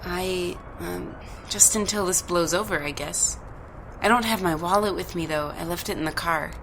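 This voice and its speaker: Woman playing a bright-voiced, sceptical stranded traveller. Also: on the low side, moderate pace, gravelly, slight background noise, low-quality recording. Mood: doubtful, reluctant. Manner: hesitant, doubtful. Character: stranded female traveller, hesitant, sceptical and impatient. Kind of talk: audio drama acting.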